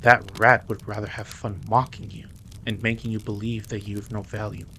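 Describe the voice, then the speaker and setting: Guy doing a dominant mafia boss for audio rp, lowish voice, flirty n cocky, noisy ambience behind him. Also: flat delivery, moderate pace, fairly bright tone. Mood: candid, persuasive. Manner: smooth-talking, teasing, possessive, self-assured. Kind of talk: intimate romantic audio roleplay acting.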